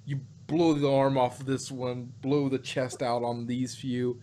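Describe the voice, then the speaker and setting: A man chatting casually about stories in a rambling, halting way, often repeating words as he works out a thought. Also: mid-range voice, flat delivery, mellow. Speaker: podcast host reviewing audio dramas. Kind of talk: casual chat, fan speculation. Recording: noticeable background noise.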